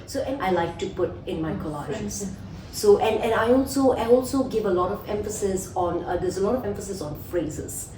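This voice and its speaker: A woman's voice: mid-range voice, lively intonation, moderate pace, mellow, a little hiss or hum. A woman being interviewed, chatting enthusiastically and running through long lists of things. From Singapore. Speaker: guest, collage artist. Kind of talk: casual interview answers. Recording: echoey room, voice from a distance, faint ambience.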